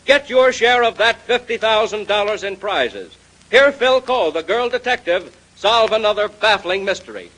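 A man announcing in 1930s radio style, giving a scripted pitch in an emphatic, urging manner. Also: expressive, moderate pace, a bit bright, a little hiss or hum, poor audio.

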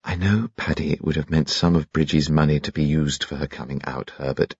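A man's voice: extremely low pitch, fairly even intonation, fairly quick, darkish tone, breathy edge, dry recording, lo-fi sound, full character voice. A man voicing a tired, anxious society lady who is also a mother, gushing yet weary in manner.

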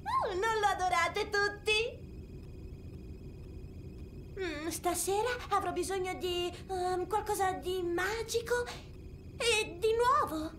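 A woman playing a spoiled, excitable young heiress in an animated video game, gushing, theatrical and fussy. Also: very high voice, slow, long pauses, a little hiss or hum, full character voice. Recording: music behind the voice.

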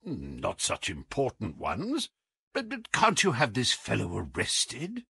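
A man reading an audiobook as a loyal, earnest doctor, concerned and halting, in a husky, gravelly voice. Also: medium pitch, wildly swooping pitch, sharp tone, no room sound, heavily acted. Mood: anxious, earnest. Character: loyal, anxious, earnest male doctor, detective's companion.

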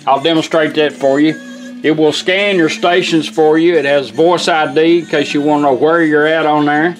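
A middle-aged man talks through a hands-on demonstration in a plainspoken, folksy way. His voice sits a little high for a man. Music plays clearly behind him. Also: steady tone, normal speed. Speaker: vintage radio restorer demonstrating a product. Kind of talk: informal hands-on product demonstration. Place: indoor workshop bench. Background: music from the radio.

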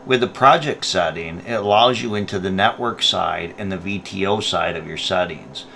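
Low, bright-voiced man giving instructions. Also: normal speed, gravelly, slight background noise. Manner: plain, methodical explaining. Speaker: product tutorial presenter. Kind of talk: step-by-step instructional narration.

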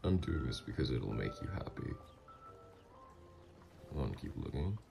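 A man playing a doting, easily flustered mafia boss lover, gruff yet flirtatious, in an intimate ASMR roleplay. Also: rumbling low, big pitch swings, normal speed, over music, heavily acted. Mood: relaxed, indulgent.

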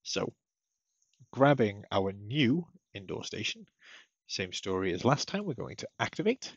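A man narrating a step-by-step software tutorial in a methodical, explanatory way. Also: lowish voice, big pitch swings, unhurried, breathy edge, dry recording, muffled recording. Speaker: technical support tutorial presenter.

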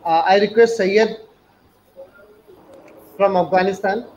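A man's voice: sits high, fairly even intonation, takes it slowly, a little mellow. A man in his forties hosting a university webinar over a video call from an indoor office, speaking formally with frequent restarts and fillers. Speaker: webinar host, university director. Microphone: laptop webcam video call. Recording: muffled video call audio with faint noise.

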